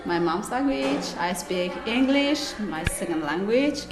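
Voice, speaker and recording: A woman's voice: mid-range voice, animated, music in the background. Young Somali woman in a roomy space. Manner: halting non-native English with laughs. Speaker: English language student. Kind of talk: documentary interview. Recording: roomy echo.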